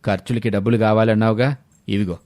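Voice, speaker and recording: A man plays a middle-aged village farmer in an audio story, pitched down deep. He is a gruff, stern, traditional father. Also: steady tone, quick pace, a little mellow, no echo, lightly acted. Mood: dismissive. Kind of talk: scripted audio story dialogue.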